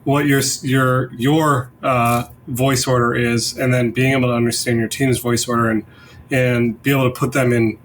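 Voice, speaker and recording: A man's voice: mid-range voice, little pitch movement, moderate pace, slight background noise. A man hosting a casual business discussion, summing up points in a conversational way. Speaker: podcast host.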